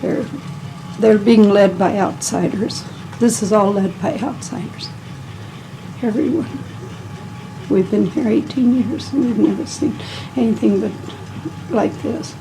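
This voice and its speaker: Female resident, middle-aged, emphatic and plain-spoken, mid-range voice, 1970s newsreel with clear traffic noise. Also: mid-range voice, measured pace. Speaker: local resident interviewed. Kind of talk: news interview.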